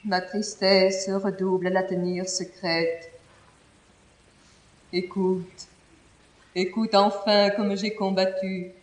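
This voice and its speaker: Slow, anguished young princess, played by an actress. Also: female voice, medium pitch, level tone, close to an everyday voice. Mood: anguished confession. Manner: solemn, impassioned, theatrical declamation. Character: proud, lovesick young Castilian princess, anguished and noble. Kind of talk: declaimed classical French verse stage tragedy. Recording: roomy, distant theatrical studio recording.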